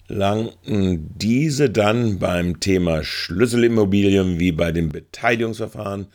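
Slow, low-voiced man reporting. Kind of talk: spoken political commentary.

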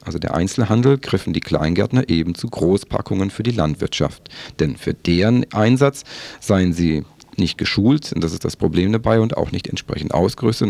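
A man's voice: low-pitched, creaky, warm tone, no echo. A man presenting a scripted information segment on the radio.

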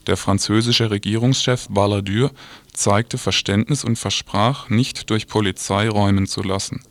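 A man's voice: on the low side, steady tone, normal speed, fairly bright tone, no room sound. A man reading a scripted radio news report.

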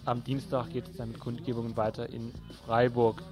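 A man's voice: medium pitch, monotone, moderate pace. A man presenting event listings on local radio in an informal, colloquial way. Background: music playing underneath.

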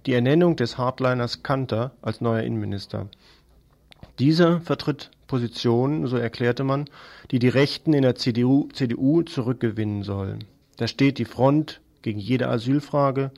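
A man's voice: mid-range voice, a little mellow. A man presenting scripted political news commentary on the radio.

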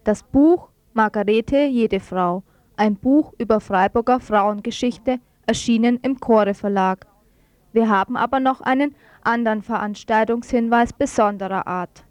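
A woman's voice: a little high, unhurried, darkish tone, dry recording. A woman presenting on radio, reading scripted narration and announcements.

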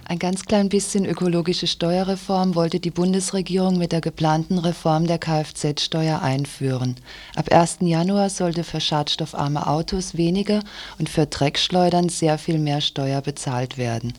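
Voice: mid-range voice, fairly even intonation, unhurried, a little mellow. A radio presenter reading a scripted news commentary with pointed, critical wording.

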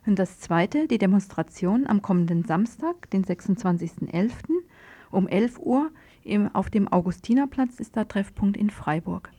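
A woman's voice: medium pitch, lively intonation, moderate pace, mellow. A woman radio presenter reading a scripted political news report.

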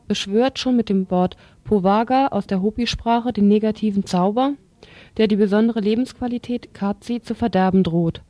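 Dark-voiced woman reading, very dry sound. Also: medium pitch, no echo. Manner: halting, stumbles over words while reading. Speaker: radio presenter. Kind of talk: reading event listings aloud.

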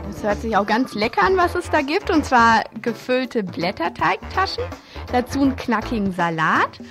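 High, lively woman radio presenter. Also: moderate pace. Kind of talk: informal, chatty event announcements. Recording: radio show over clear music. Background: prominent music.

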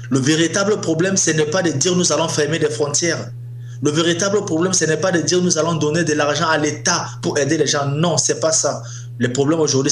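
A man with a Cameroonian accent speaking as an activist in an interview, making his points with emphatic, repeated phrasing. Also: fairly high pitch. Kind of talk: spoken interview testimony. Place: living room of a flat. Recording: echoey room, faint hum.

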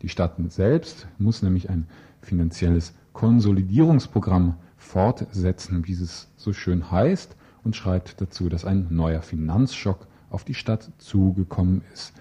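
A man's voice: sits low, a little slow, dark tone, breathy edge. A man delivering an informal, wry news report as a radio reporter. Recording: dull lo-fi radio recording.

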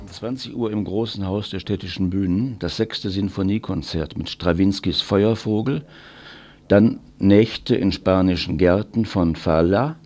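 A man with a low-pitched voice presents event listings on a community radio station. He works through announcements in an informational presenter's style. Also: unhurried, a little mellow, faint music behind.

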